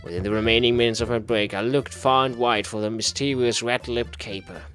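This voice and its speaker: A man's voice: medium pitch, steady tone, fairly quick, fairly bright tone, soft background music. A man reading a visual novel aloud in a theatrical, mock-serious way as a gaming host. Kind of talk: dramatic read-aloud narration of a visual novel.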